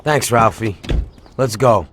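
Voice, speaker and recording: A man's voice: fairly low pitch, takes it slowly, noisy background. An actor playing a cocky, streetwise mob henchman in a video game, with a casual, blunt way of talking. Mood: brisk, blunt. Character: streetwise, cocky mobster, loyal right-hand man. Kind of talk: video game cutscene acting.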